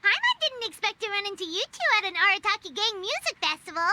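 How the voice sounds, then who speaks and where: A woman voicing a small, excitable fairy companion in a video game, with a squeaky childlike voice and a feisty way of talking. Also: quick pace, heavy background noise, heavily acted. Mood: eager. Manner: speaks of self in third person.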